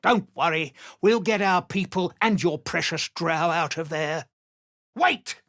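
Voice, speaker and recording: A man playing a desperate, hot-tempered gnome captive and explosives expert in a video game, urgent and rough-spoken. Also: fairly high pitch, measured pace, a bit bright, no echo, clean studio sound. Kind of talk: video game character voice lines.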